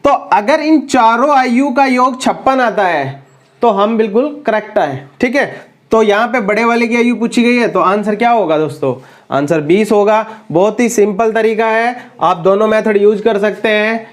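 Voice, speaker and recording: A man's voice: sits high, normal speed. A man with a sore throat teaching an exam-prep math lesson, working through problems step by step and addressing students as friends.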